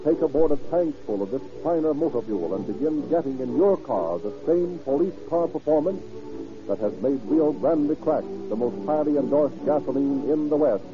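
A man's voice: a little high, animated, normal speed, music in the background. An American man announcing and narrating a scripted 1930s radio program in a formal, declamatory manner. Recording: dull old radio broadcast recording.

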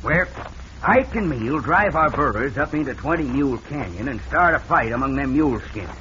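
Smooth-talking Old West gang leader, American Western accent, sly, vintage radio western with faint noise. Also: male voice, mid-range voice, animated, normal speed, pushed and bright. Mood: persuasive scheming. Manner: smooth-talking, conniving, folksy. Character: scheming, sly male Old West outlaw ringleader. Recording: muffled, noisy vintage radio recording.

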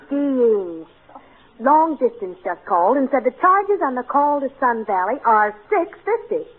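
An actress playing a caring, tender-hearted housewife, expressive and sympathetic, in an old-time radio comedy. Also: female voice, high voice, unhurried, slightly rough, heavily acted. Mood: concerned. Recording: tinny vintage radio recording.

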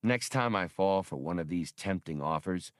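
A man's voice: low voice, expressive, normal speed, no room sound, studio quality. A man voicing a cocky, hard-nosed loner space captain in an audiobook, with a wry, blunt delivery.

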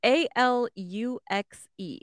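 Female podcast co-host, fairly high voice, informal sweary chat, amused storytelling, dry close recording. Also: takes it slowly, dry recording. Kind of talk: casual chat, relaying odd news stories.

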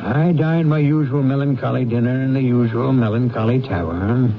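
An actor playing a bitter, miserly old businessman in a radio drama, gravelly, curt and contemptuous. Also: mid-range voice, normal speed, music in the background, lightly acted. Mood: brooding narration. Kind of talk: 1970s radio drama acting. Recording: dull lo-fi 1970s radio recording.

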